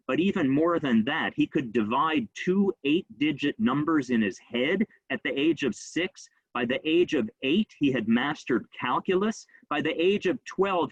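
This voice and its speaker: A man gives a history lecture at a brisk, conversational clip, his speech dotted with ums and uhs. The sound is dry and a bit dull, as from a plain recording in a quiet room. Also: medium pitch, breathy edge, dry recording. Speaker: rabbi giving a history lecture. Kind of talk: informal illustrated talk.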